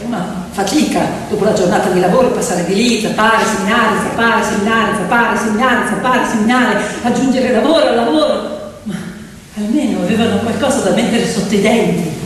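A woman's voice: medium pitch, fairly quick, a little hiss or hum. A woman telling a story theatrically, in a poetic, emphatic manner with incantatory phrasing. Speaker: stage storyteller and actress. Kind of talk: dramatic theatrical storytelling with piano. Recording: echoey reverberant hall, distant mic, loud music. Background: piano music.

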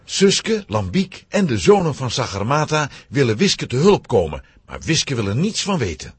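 A man with a husky voice narrating a children's audio drama as dramatic scripted storytelling. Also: mid-range voice, huge pitch swings, normal speed, no echo.

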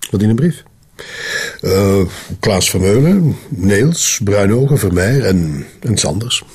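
A middle-aged Flemish police chief in a crime drama. His voice is husky and fairly low. He speaks in a measured, official, slightly hesitant manner. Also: sing-song, darkish tone, exaggerated voice. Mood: measured, defensive.

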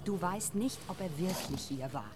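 A woman's voice: fairly low pitch, animated, normal speed, lightly acted. An actress playing an anxious, reluctant young woman in the woods at night in a radio drama, colloquial and protesting. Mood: doubtful. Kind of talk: naturalistic radio drama acting. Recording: noisy outdoor ambience.

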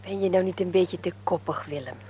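An actress playing a loyal, obliging young woman in a radio drama, brisk, polite and attentive. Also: lowish voice, warm tone, husky. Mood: concerned. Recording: old radio recording with faint noise.

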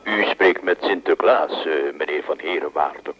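A man's voice: low-pitched, moderate pace, a little hiss or hum, close to an everyday voice. A man playing a polite, playfully solemn young man making a phone call in a radio drama. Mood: mock solemn.

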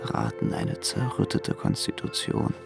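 A man's voice: moderate pace, over loud music, lightly acted. An actor playing a young provincial man in Paris, yearning and brooding, in a German radio drama. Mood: brooding, observing.

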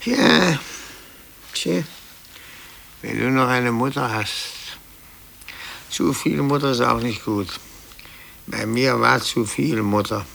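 Gravelly, brooding middle-aged clerk. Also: male voice, mid-range voice, a bit bright, slight background noise, heavily acted. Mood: bitter, resigned. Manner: halting, repeating himself. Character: embittered, brooding middle-aged male office clerk, henpecked and hesitant. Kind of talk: 1950s German radio play acting.

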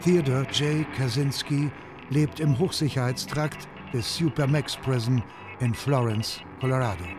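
A man's voice: medium pitch, unhurried. A man reading scripted factual narration as the narrator of a documentary radio piece. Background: music.

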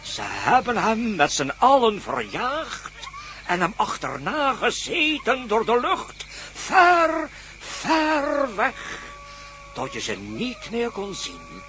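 Solemn radio play storyteller, high voice, swooping sing-song delivery, faint noise. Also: male voice, huge pitch swings, takes it slowly, sharp tone, full character voice. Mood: wondrous, solemn. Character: solemn storyteller recounting an ancient legend of blue gods.

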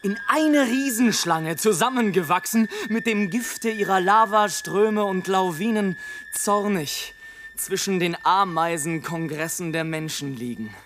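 An actor playing a rapturous, scornful airship balloonist in a theatrical, declamatory radio play monologue, swinging between grand exultation and hush. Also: male voice, sits high, measured pace, noisy background. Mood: exultant. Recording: studio radio play with music.

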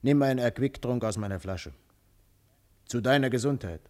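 A man playing a huge, menacing but roguish robber in a German radio play, taunting and swaggering with rough camaraderie. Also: mid-range voice, moderate pace, darkish tone, lightly acted. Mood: roguish, jovial. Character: huge, menacing male robber, roguishly jovial, armed with club.

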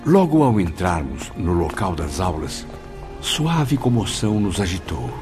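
An actor playing an earnest, respectful spirit pupil who speaks courteously and expressively in a radio drama. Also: male voice, sits low, sing-song, unhurried. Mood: reverent. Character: earnest, respectful male spirit pupil recounting his afterlife. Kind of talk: radio drama acting and narration. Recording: music behind the voice.

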